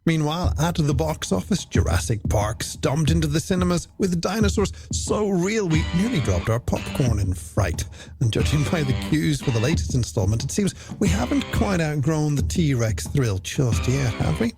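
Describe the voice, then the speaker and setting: A man's voice: on the high side, sing-song, normal speed. An Irish man presenting a late-night music radio show in a polished, theatrical way with flowery phrasing and rhetorical questions, over a music bed.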